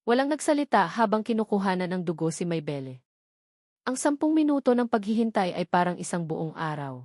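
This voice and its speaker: A woman's voice: mid-range voice, darkish tone, no room sound. Woman narrating a dramatic story, dry sound.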